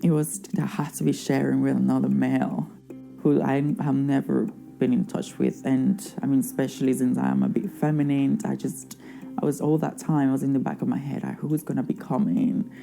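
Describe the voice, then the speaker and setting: A man giving soft, hesitant documentary testimony and often correcting himself. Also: mid-range voice, little pitch movement, brisk, gravelly. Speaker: asylum seeker interviewee. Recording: faint music bed underneath.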